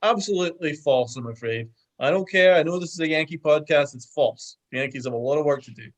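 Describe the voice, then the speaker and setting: A man's voice: a little high, quick pace. A man co-hosting a casual sports podcast over a video call, giving blunt, emphatic opinions. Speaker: podcast co-host.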